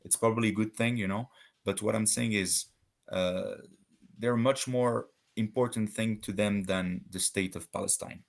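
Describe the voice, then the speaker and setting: A man from Switzerland talking as a guest in a casual debate conversation, halting and searching for words with many restarts. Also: lowish voice, fairly even intonation, gravelly.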